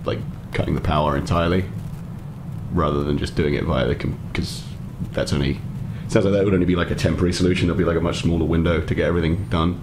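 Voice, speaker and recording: A man's voice: very deep voice, fairly even intonation, quick pace, noisy background. A man playing a tabletop game in casual improvised chat, hesitant and rambling as he talks.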